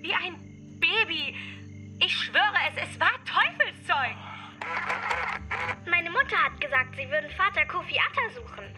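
A woman's voice: very high-pitched, big pitch swings, unhurried, pauses often, music in the background, exaggerated voice. A woman playing a frightened village child in a radio drama, earnestly telling of something uncanny. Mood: spooked, earnest. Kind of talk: German radio drama acting.

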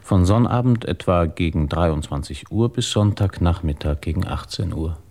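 Radio drama: probing male inspector, deep voice, courteous, methodical, quietly in charge. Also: expressive, moderate pace. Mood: polite, probing. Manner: polite, methodical questioning. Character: calm, probing male police inspector, polite but authoritative.

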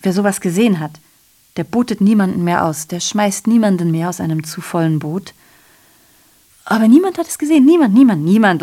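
A woman's voice: fairly high pitch, lightly acted. An actress playing a bitterly ironic, evasive woman messenger reporting horrors in a theatrical, sardonic radio drama monologue. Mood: grim, emphatic.

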